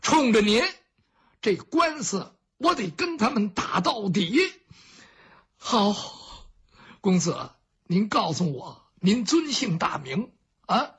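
A man's voice: high voice, big pitch swings, takes it slowly, pauses often, fairly bright tone, husky, exaggerated voice. A storyteller voicing a humble common man who earnestly thanks his rescuer. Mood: earnest grateful. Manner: earnest, pleading.

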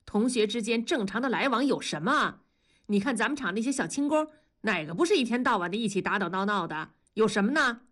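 A woman's voice: fairly high pitch, animated, brisk, a bit bright. A woman voicing a defensive, exasperated husband and father who reasons testily in an audio drama. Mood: reasoning. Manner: reasoning, then testy.

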